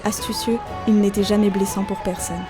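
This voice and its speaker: A woman's voice: mid-range voice, little pitch movement, fairly quick. A woman narrating a folk tale as a scripted audio story. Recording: faint music behind. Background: faint music.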